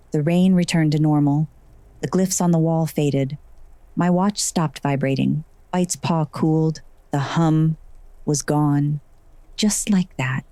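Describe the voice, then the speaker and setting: A woman's voice: sits low, mellow, no room sound. A woman playing a sardonic, frazzled heroine who narrates her magical adventure in first person in an audio drama. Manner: wry, sarcastic asides.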